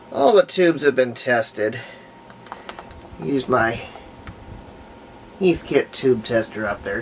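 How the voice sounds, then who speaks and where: A man's voice: mid-range voice, vocal fry, slight background noise. A man with a folksy way of talking narrates hands-on repair work casually, thinking aloud. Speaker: radio restoration hobbyist.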